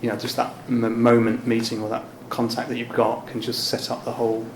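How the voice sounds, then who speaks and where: A man conducting a relaxed, informal interview in a flat, even tone. His voice is medium-pitched, recorded in an echoey space with noticeable background noise. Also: a little fast. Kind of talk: casual interview chat.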